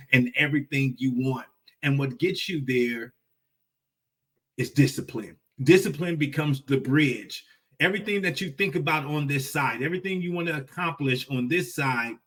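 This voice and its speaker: A man's voice: medium pitch, fairly bright tone, distant mic. Emphatic man in his forties, repeating points. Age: 40s. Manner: repetitive, emphatic, with rhetorical "right?" tags. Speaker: host. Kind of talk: motivational livestream talk.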